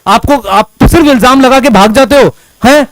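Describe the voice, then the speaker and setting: इस्तीफ़ा दे चुका एक शिक्षक अपने पूर्व बॉस से सीधे और गुस्से में शिकायत करता है, एक ही बात बार-बार दोहराता है।. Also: male voice, extremely high pitch, moderate pace, fairly bright tone, slight background noise. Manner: confrontational, repeats himself insistently. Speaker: teacher who resigned from an ed-tech company. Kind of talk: heated complaint addressed to a former boss.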